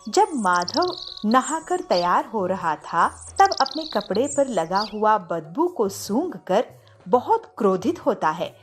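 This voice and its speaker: A woman narrating a scripted moral story for an animated children's video. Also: medium pitch, slow pace. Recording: music behind the voice.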